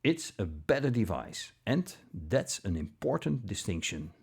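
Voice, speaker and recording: A man reading a scripted product review in a measured, deliberate manner, with short pauses between phrases. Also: lowish voice, very animated, breathy edge. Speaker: audio gear reviewer.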